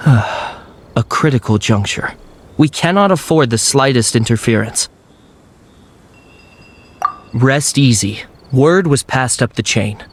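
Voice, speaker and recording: A man's voice: medium pitch, animated, slow, long pauses. A dutiful soldier of the city watch with a mid-range voice. He sounds formal, assured and reassuring in video game cutscene style, with faint noise behind him. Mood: serious. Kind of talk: video game character acting.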